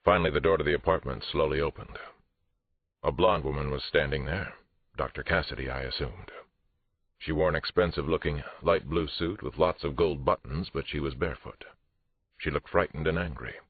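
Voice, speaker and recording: A man's voice: brisk, full character voice. A man reading a first-person audiobook thriller as a police detective, tense, restrained and dramatic. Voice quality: very deep, husky. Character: male police detective narrator, angry but controlled. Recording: roomy, muffled phone-like audio.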